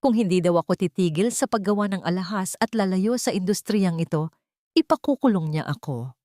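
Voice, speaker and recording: A woman's voice: mid-range voice, lively intonation, a little fast, warm tone, dry recording, studio quality, lightly acted. Earnest Filipino heroine, read by a woman narrator. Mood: fearful, hushed.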